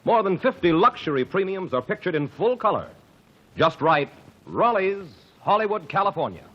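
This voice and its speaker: A man's voice: high voice, big pitch swings, moderate pace. A man announcing a radio program in a formal 1940s style, reading credits and sponsor copy. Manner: smooth, formal announcer delivery. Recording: dull old radio broadcast.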